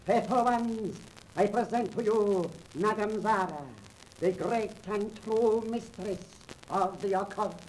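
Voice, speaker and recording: A ceremonious seance doorman with a fairly high voice in a 1930s radio play. He is solemn, formal and unctuous, under clear vintage recording noise. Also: slow, darkish tone. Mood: grand, ceremonious. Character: solemn, ceremonious male attendant of a fake seance house. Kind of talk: 1930s radio drama acting. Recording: noisy 1930s radio transcription.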